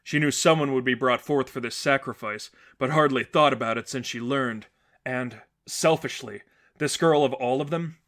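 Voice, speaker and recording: A male narrator reads dramatic fiction in a mid-range voice. His delivery is measured and earnest, keeping up suspense as he moves through scripted prose. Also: medium pitch, a bit bright. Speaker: narrator reading fan fiction.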